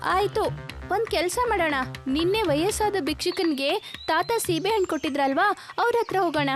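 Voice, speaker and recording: A woman voicing a sensible, earnest young girl, the cautious big sister in an animated moral story. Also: very high-pitched, normal speed, soft background music. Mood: earnest, helpful.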